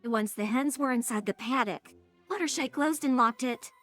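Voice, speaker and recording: A woman's voice: high voice, moderate pace, music in the background. A synthetic-sounding woman's voice reading scripted story narration.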